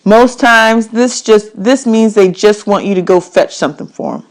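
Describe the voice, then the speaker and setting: A woman reading a children's novel aloud as an expressive audiobook, with deliberate, emphatic storytelling. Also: mid-range voice.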